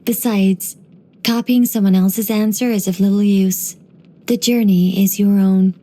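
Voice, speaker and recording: A woman voicing a gentle, wise young angelic woman in game voice lines, soft and wryly musing. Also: mid-range voice, fairly even intonation, unhurried, natural voice.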